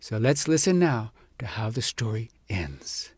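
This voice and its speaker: A man reading a fairy tale as an audiobook storyteller, in an expressive, measured storybook delivery. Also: medium pitch, husky, no room sound.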